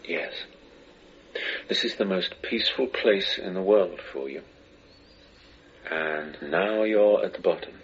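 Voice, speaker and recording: A man in a radio drama playing a patient, gentle hypnotherapist who guides and questions in a measured, soothing way. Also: low voice, husky, heavily acted. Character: patient, gentle male hypnotherapist guiding a regression. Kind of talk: radio drama acting as taped therapy session. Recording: noisy, slightly dull session tape.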